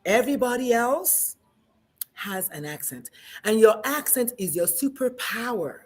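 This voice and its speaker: Middle-aged Nigerian woman, guest, husky and fairly high, emphatic and animated. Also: a little slow. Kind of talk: casual discussion.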